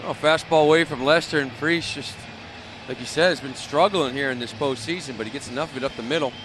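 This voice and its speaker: A man's voice: mid-range voice, fast, background noise. A man with an American accent giving conversational analysis during a live baseball broadcast. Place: ballpark broadcast booth.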